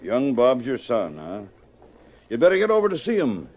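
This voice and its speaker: An animated western sheriff. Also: male voice, medium pitch, normal speed. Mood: kindly. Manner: authoritative, folksy. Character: confident, plain-spoken western sheriff. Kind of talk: old-time radio western acting. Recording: dull, very noisy vintage radio recording.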